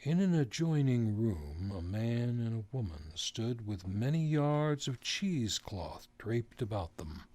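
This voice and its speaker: A man's voice: medium pitch, sing-song, measured pace, hardly any pauses. A man narrating a radio drama from a script, as storyteller. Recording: loud music under the voice. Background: loud music.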